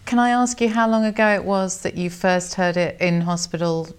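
Smooth-voiced woman hosting an interview. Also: lowish voice, moderate pace. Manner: warm, encouraging interviewer. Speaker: host. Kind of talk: conversational interview.

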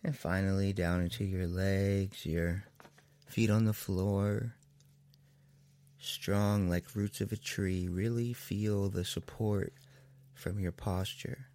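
Low, creaky man guiding a slow meditation. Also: little pitch movement, mellow. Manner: slow, soothing, with long pauses between phrases. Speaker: therapist and meditation guide. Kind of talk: guided meditation.

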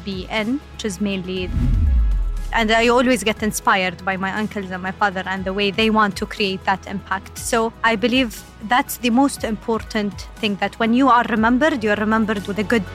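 A woman's voice: medium pitch, fairly even intonation, brisk, fairly bright tone, faint music behind. A woman from Saudi Arabia, a podcast guest talking earnestly about her upbringing and repeating phrases for emphasis. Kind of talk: interview conversation.

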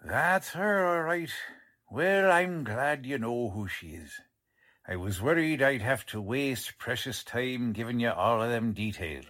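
An actor playing a plodding police sergeant who talks in folksy, ungrammatical street slang, in the style of a 1940s radio drama. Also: male voice, medium pitch, lively intonation, a little slow, a bit bright. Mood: matter-of-fact. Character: blunt, plodding middle-aged male police sergeant.

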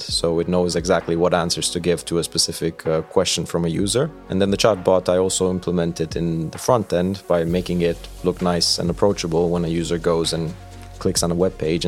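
A young man from Romania, a software engineer, explaining his work in a relaxed, conversational way with a few fillers. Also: deep voice, a little fast. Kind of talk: recruitment video interview. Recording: soft music bed behind.

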